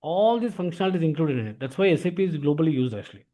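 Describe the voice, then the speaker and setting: A man's voice: fairly high pitch, animated, very fast, mellow. A man with an Indian accent giving an informal explanatory lesson as an instructor, often tagging sentences with filler words. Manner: repeats filler tags like "actually".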